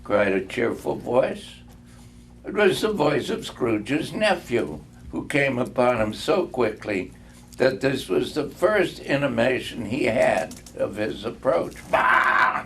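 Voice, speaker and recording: Older man, storybook narration, slow-paced, creaky voice, deliberate emphasis. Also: mid-range voice, very animated. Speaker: reader of a classic story. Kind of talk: storybook reading aloud. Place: live reading in a room. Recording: faint background noise.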